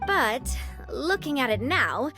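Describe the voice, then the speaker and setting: A woman's voice: high voice, sing-song, slow, exaggerated voice. A woman voicing a poised, gracious and sincere young female leader in a dramatic video game. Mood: warm, welcoming. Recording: background music under the voice.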